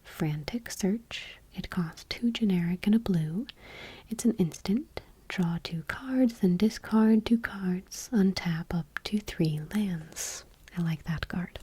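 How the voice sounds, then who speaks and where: A woman softly reading aloud for ASMR in a breathy, whispery voice with a hushed, gentle delivery. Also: mid-range voice, slow pace, dry recording. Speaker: ASMR video host.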